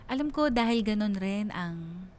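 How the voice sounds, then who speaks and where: A woman's voice: medium pitch, lively intonation, unhurried, darkish tone, a little hiss or hum, lightly acted. An actress playing a wistful young woman confiding her love story in first-person radio drama narration. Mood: wistful, reflective.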